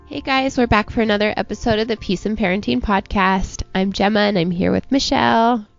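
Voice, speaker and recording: A woman co-hosting a podcast in casual chat, raspy and hoarse from a cold, upbeat and brief. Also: medium pitch, fairly quick, lo-fi sound, soft background music. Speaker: podcast co-host.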